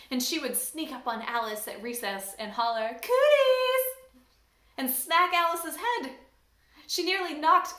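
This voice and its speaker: Woman church staff member, high voice, animated storybook reader, roomy echo. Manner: animated, expressive reading. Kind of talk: storybook read-aloud.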